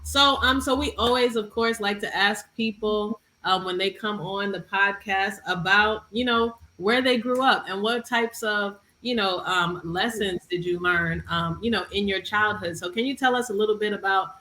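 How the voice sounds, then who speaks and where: A woman's voice: mid-range voice, normal speed, few pauses, sharp tone, a little hiss or hum. A woman hosting a podcast in a casual, conversational style. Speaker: podcast host. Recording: roomy, echoey sound.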